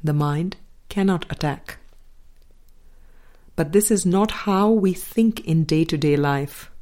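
A woman reading a spiritual book aloud as scripted narration, in measured, deliberate phrases with pauses. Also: fairly low pitch, mellow. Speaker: audiobook reader.

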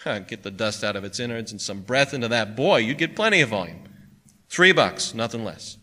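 Give the storybook pawnbroker as a male narrator acts him out a weary, irritable grumble with a shrewd haggler's crispness. The voice is forceful and bright, and its pitch swings widely through folksy phrases. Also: expressive, moderate pace. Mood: proud, haggling.

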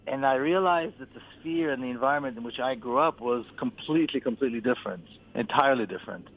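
A man from Israel giving a deliberate, earnest account as a radio interview guest, repeating words for emphasis. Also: medium pitch, slight background noise. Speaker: interview guest, author and activist. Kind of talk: radio interview, personal account and political argument. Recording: tinny, phone-like narrow-band line.

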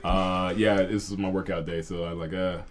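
A man in his thirties hosting a relaxed chat over drinks, with a laid-back way of talking full of asides. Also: sits low, moderate pace. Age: 30s. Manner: laid-back, keeps adding "man" and "you know what I'm saying". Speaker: podcast host.

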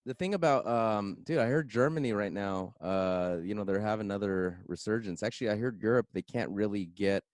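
A relaxed, jokey man hosts a casual podcast chat. His voice sits a little low. He often tacks "man" onto the end of his lines. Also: brisk, few pauses. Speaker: podcast host.

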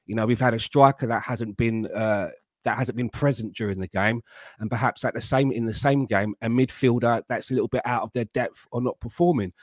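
Man, measured, careful, dry close sound, mid pitch. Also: steady tone, no echo. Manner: measured, careful, thinks aloud with restarts. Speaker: fan panel guest. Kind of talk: casual football fan chat.

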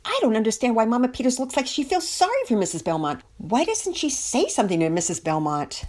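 A woman narrator voices an exasperated storybook girl full of judgment. She sounds indignant and sarcastic, with a raised pitch that swings widely. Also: very animated, fairly quick, a little mellow.

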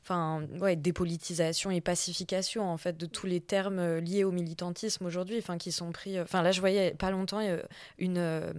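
Low-voiced woman hosting a podcast. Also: fairly quick, clear, resonant tone, no echo. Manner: relaxed, lots of murmured agreement and laughter. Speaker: podcast host. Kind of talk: casual conversational interview.

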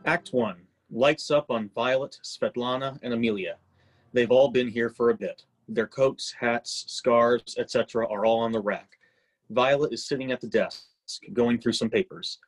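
Mid-pitched man reading stage directions. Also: moderate pace, breathy edge. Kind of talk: scripted narration of stage directions.

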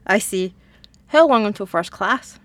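A young woman playing a dry, wary teenage girl who is new at school, deadpan, in a comic audio drama. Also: medium pitch, measured pace, no echo. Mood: matter-of-fact. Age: young adult. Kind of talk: light comedic audio drama dialogue.

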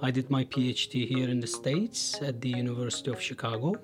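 A man from Turkey, a history scholar, explaining in a measured way and stressing key words. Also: medium pitch, steady tone, music in the background. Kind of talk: interview excerpt, explanatory.

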